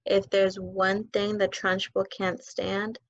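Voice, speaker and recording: A woman voicing a knowing older schoolgirl who talks in low, hushed tones. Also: flat delivery, slow. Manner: whispering, murmuring. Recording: dull lo-fi dry recording.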